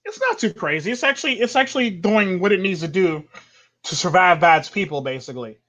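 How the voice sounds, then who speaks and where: A man's voice: sits high, very animated, brisk, pushed and bright. A man hosting a livestream in casual chat, opinionated, rambling on in long runs and laughing easily. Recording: dull lo-fi livestream audio.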